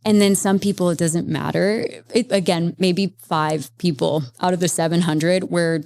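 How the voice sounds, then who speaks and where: Chatty low-voiced woman therapist. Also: normal speed. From Las Vegas, Nevada, USA. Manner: animated, explains at length with many "like" fillers. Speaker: guest, psychedelic integration therapist. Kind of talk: conversational interview.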